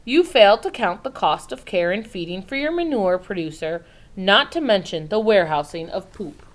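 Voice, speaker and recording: A woman reading a storybook as a stern, businesslike father, formal and critical in a measured way. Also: medium pitch, expressive, natural voice. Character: stern, businesslike father rejecting a child's proposal.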